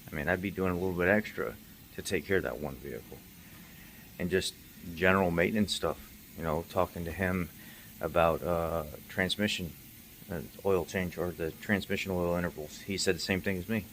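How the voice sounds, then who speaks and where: Low-pitched male mechanic, middle-aged, off-the-cuff and emphatic, with noticeable background noise. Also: moderate pace, fairly bright tone. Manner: emphatic, repeats points for stress. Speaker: car maintenance video host, mechanic. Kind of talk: casual unscripted monologue recap.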